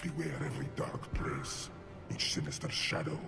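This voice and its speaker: An actor playing an arrogant, menacing dark god in a video game, with a grandiose, brutal delivery. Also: male voice, big pitch swings, normal speed, over music. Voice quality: deep, gravelly. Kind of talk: video game character voice lines. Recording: cavernous reverb, noisy game capture.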